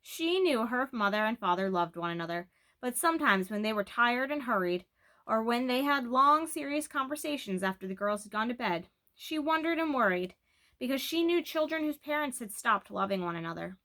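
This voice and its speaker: A woman narrates a children's novel with a bright, crisp-edged delivery and a slightly high pitch. She reads expressively, like someone sharing a chapter book aloud, and is animated in her storytelling. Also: moderate pace.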